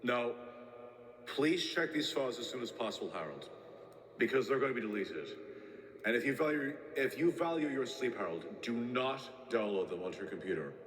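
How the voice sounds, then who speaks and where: A man's voice: mid-range voice, animated, a bit bright, roomy sound, in a large hall, natural voice. A man playing an uneasy, rambling tape collector. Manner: rambling, halting, with many restarts. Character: uneasy male tape collector writing a warning.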